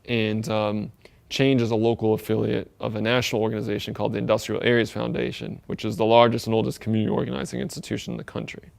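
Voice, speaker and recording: A young man from Egypt speaks in a steady, level tone with a strongly gravelly edge to his voice. He talks thoughtfully and measuredly, pausing on fillers and restarting his sentences as he explains in an interview. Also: on the low side. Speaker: community organizer. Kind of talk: interview monologue.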